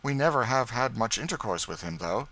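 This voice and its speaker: A man voicing a courteous young gentleman in an audiobook, frank, polite and informative. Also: medium pitch, expressive, brisk, voice pushed up. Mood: affable. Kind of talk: audiobook character dialogue.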